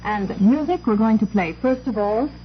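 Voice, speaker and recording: A woman's voice: fairly high pitch, normal speed, muffled recording, slight background noise. A woman presenting on 1960s shortwave radio in the announcing style of an international broadcast. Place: radio studio, heard over shortwave.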